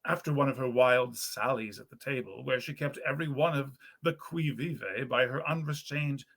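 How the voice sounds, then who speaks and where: A man, an actor, reading a nineteenth-century story aloud in an expressive, genteel storytelling style. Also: mid-range voice, normal speed, vocal fry. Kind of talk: theatrical storytelling of 19th-century literature. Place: period parlor in a historic house.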